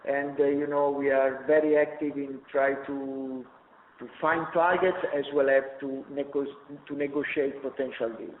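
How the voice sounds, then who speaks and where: Halting executive man on a phone line. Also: medium pitch, little pitch movement, slight background noise. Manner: halting, many fillers and restarts. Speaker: company executive. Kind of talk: earnings call answer. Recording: echoey tinny phone line.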